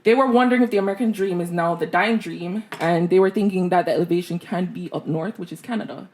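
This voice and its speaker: A woman's voice: on the low side, quick pace, a little mellow. A woman in her twenties with a Jamaican accent, co-hosting a casual podcast chat from a small room studio on a desk mic. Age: 20s. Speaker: podcast co-host.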